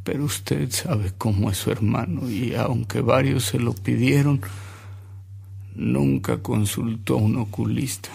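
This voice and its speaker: Radio drama, reflective, weary Mexican narrator: slow, measured, heavy rasp, dramatic pauses. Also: male voice, medium pitch, a little mellow, heavily acted. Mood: reflective, confiding. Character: weary, reflective man recalling a saintly bishop's deeds.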